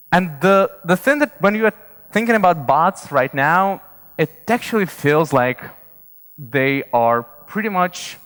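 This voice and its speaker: A man speaks as a conference presenter in a big reverberant hall. His voice is clear and smooth at a middling pitch. He explains things informally, often hesitating and repeating words as he goes. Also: fairly bright tone. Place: large indoor conference hall.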